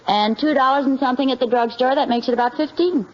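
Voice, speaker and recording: An American woman acting a patient, practical housewife who is gently wry with her husband, in a calm 1950s radio comedy style. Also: high-pitched, fast, lightly acted. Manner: dry, calm, gently teasing. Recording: muffled, noisy vintage radio recording.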